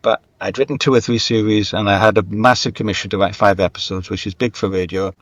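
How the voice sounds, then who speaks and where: Male writer guest, low voice, dry close sound, reflective and self-deprecating, anecdotal delivery. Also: fairly even intonation, fast, no room sound, dull audio. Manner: candid, self-deprecating, wandering anecdotal talk. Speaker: guest, screenwriter and novelist. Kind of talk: reflective conversational interview.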